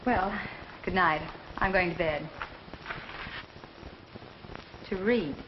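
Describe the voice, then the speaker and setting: A woman's voice: on the low side, unhurried, close to an everyday voice. An actress playing a cool, wry young American woman lodger in a 1940s film. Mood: dismissive. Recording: dull vintage film soundtrack, some hiss.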